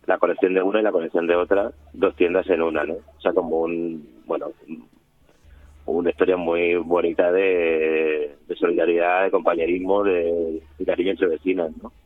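A man with a low voice describes a radio documentary, hesitant and restarting often. The sound is thin and phone-like. The room is dry. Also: level tone, moderate pace, no echo. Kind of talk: interview talk. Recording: phone-like line.

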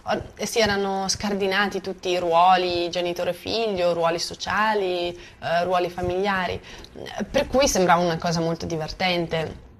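A woman's voice: lowish voice, fairly even intonation, moderate pace. A woman from Bosnia, a native Bosnian speaker, giving spontaneous personal testimony and hesitantly searching for words. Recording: faint background noise.

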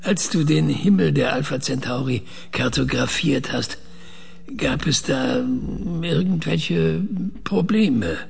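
A man acting in a radio play as a calm, controlling scientist with a husky, gravelly voice and a measured, deliberate way of talking. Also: on the high side, full character voice. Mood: calm, probing. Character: calm, controlling male scientist interrogating an artificial mind. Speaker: radio drama actor.

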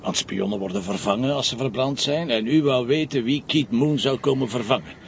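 An actor playing a shrewd, probing middle-aged police commissioner with a Flemish accent, talking in a dry, insistent way. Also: male voice, brisk, vocal fry, lightly acted. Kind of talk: television crime drama. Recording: dull lo-fi TV audio with faint rumble.

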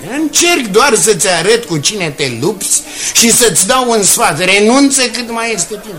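Smug, animated male board chairman. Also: sits high, soft background music. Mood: amused, patronising. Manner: patronising, chuckling, theatrical. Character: smug, condescending, amused older board chairman and financier. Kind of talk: Romanian radio drama acting.

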